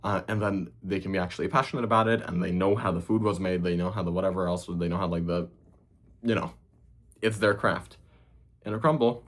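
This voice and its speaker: Young male cooking host, Hungarian accent gone a bit American, low husky voice, profane off-the-cuff vlog rant. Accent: Hungarian, partly Americanized. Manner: profane, rambling, with frequent fillers. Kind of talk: casual rant to camera.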